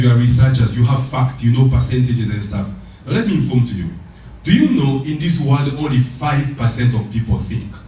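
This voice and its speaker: A man from the Democratic Republic of Congo with a Congolese French accent, whose first language is French, giving a lively motivational speech. He asks the audience rhetorical questions and repeats his key phrases. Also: medium pitch, a little hiss or hum. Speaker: motivational speaker. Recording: very echoey hall, voice from far off.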